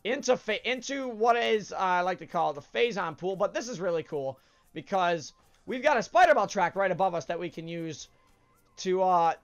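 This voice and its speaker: A man's voice: sits high, animated, moderate pace, highs cut off, soft background music. A man with a stuffed-up nose from a cold, rambling through casual gameplay commentary as the host. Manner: chatty, rambling, frequent uhs and self-corrections. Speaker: let's play host.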